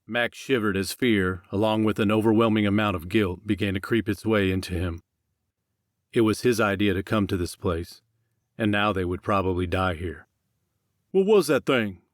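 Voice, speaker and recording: A man with a fairly low voice narrates an audiobook. He reads scripted dramatic fiction, and the recording is dry, with no room sound. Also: steady tone, normal speed, dry recording.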